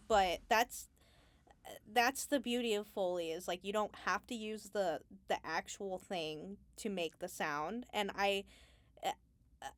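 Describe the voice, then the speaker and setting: A woman being interviewed as a Foley artist, talking casually and haltingly as she thinks aloud. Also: medium pitch.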